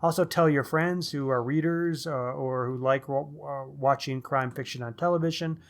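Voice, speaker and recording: A man's voice: mid-range voice, steady tone, moderate pace. A man co-hosting a book podcast, talking in a casual, chatty style. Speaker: podcast co-host.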